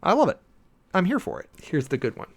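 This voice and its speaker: A man's voice: high-pitched, very animated, fairly quick. An American man giving a dramatic reading with off-the-cuff commentary, in a sardonic, emphatic way with mock-exasperated asides, like a preacher-commentator.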